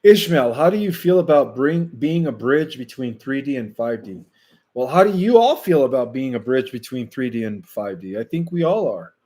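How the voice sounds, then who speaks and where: A man whose first language is Spanish, hosting a casual livestream and answering viewer questions in a slow, deliberate way with frequent fillers. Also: mid-range voice, very animated, darkish tone.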